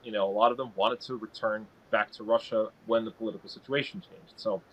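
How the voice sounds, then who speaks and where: A man's voice: on the low side, fairly even intonation, fairly quick, a bit bright, muffled recording. Rambling man recounting family background. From United States. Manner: rambling, with fillers and restarts. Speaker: co-host. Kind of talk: casual podcast chat.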